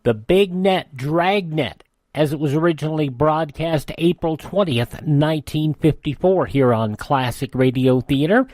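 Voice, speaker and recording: A man's voice: fairly high pitch, measured pace, a little mellow, no echo. An American man hosting radio, chatting in a folksy, conversational announcing and ad-reading style. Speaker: radio host.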